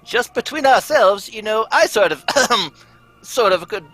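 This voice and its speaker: A woman playing a hearty, proper gentleman sidekick in an amateur radio drama, exclamatory and husky. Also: medium pitch, expressive, a little slow, faint music behind, rough recording. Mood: genial, confiding. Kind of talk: amateur old-time radio drama reading.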